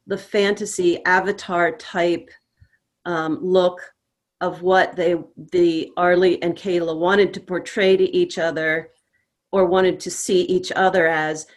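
A middle-aged woman, a playwright, talking in a casual panel discussion in a measured way, pausing mid-phrase. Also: on the low side.

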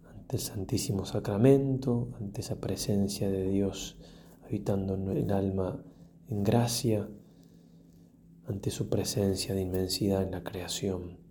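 A man, a priest leading a guided prayer meditation in a solemn, reflective manner with long pauses and softly trailing phrases. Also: low-pitched, steady tone, a little hiss or hum.

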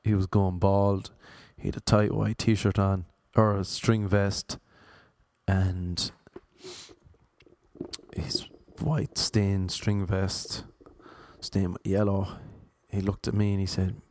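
Deadpan Cork Irish man, dark voice. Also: low voice, takes it slowly. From Ireland. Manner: deadpan, self-mocking, rambling. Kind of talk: casual storytelling monologue.

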